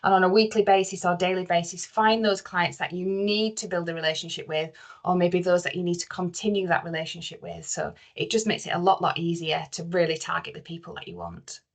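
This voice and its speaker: Lower-voiced woman co-presenting a webinar. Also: steady tone, a little fast. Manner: explanatory, informal, uses rhetorical questions. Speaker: webinar co-presenter, recruitment consultant.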